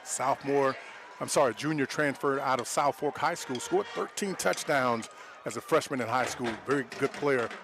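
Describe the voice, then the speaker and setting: A man's voice: medium pitch, moderate pace, noisy background. An American man giving live color commentary on a football game in a conversational, explanatory way. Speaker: color analyst, former player.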